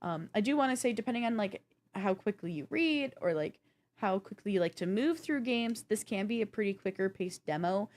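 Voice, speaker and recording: A woman co-hosting a podcast in casual gaming chat, telling things in an animated, self-deprecating way. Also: mid-range voice, fairly quick. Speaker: podcast co-host.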